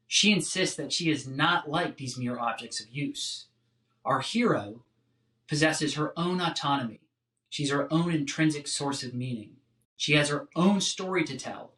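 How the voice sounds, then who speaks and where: A man delivering a scripted academic lecture in a measured, articulate, emphatic way, like a professor. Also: a little high, a bit bright. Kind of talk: scripted philosophy lecture narration. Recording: roomy, echoing sound.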